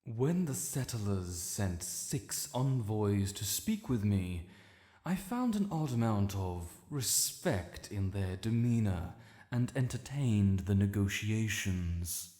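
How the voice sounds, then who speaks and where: In a long, cavernous reverb, an ancient dragon lord played by a man speaks slowly with a British accent. The voice is low and stately, proud and honorable, with the formal bearing of a stern guardian in a fantasy audio drama. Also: sing-song, mellow. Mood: grave, stately. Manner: formal, proud, measured and imperious. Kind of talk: fantasy audio roleplay addressed to the listener.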